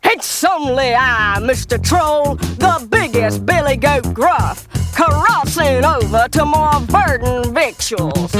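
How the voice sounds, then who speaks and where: Biggest billy goat, female reader: defiant storybook male, emphatic growl, drawn-out pace, raised pitch. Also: animated, music in the background. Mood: bold, unafraid. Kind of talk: storybook character voice.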